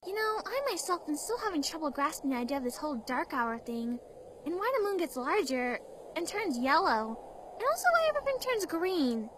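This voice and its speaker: A woman voicing a sarcastic, excitable teenage girl in a fan-made parody cartoon dub, with background hiss. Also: sits high, brisk. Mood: puzzled. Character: sassy teenage girl archer, dramatic.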